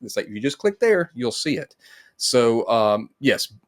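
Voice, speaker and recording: A man's voice: medium pitch, sing-song, measured pace. A middle-aged man hosting a casual interview in a rambling, conversational way. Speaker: interview host. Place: home room with bookshelf, on video call.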